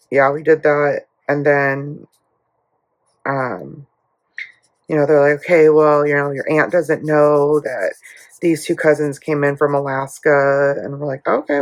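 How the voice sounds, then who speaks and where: Hoarse woman chatting slowly, gravelly. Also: low-pitched, low-quality recording. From United States. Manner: rambling storytelling with many fillers. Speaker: budgeting YouTuber. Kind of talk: casual chatty vlog while cash stuffing.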